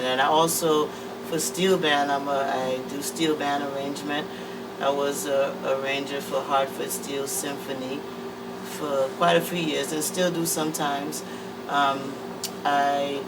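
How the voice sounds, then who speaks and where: Woman in her sixties, mic set back. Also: extremely low pitch. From Trinidad and Tobago. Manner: easygoing, hesitant, many fillers. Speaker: interview guest, musician. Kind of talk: casual interview talk. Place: music production room. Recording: distant mic with air-conditioning hum.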